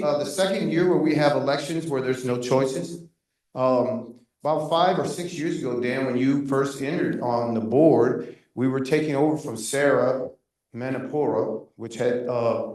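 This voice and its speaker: A man speaks up at a neighborhood meeting, loud and insistent, restarting his sentences as he pushes his complaint. He is not on the microphone, so his voice comes from well off across a very echoey room. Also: mid-range voice. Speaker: resident giving public comment. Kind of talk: impassioned public comment at a neighborhood meeting. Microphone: room mic at a distance, not speaking into a handheld. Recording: very echoey room, voice far from the mic.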